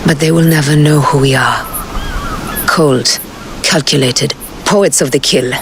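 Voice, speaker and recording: Low-voiced stern assassin leader. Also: female voice, noisy background. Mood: cold resolve. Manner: measured, intense. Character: resolute, stern female Egyptian assassin leader. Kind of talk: dramatic game cutscene acting.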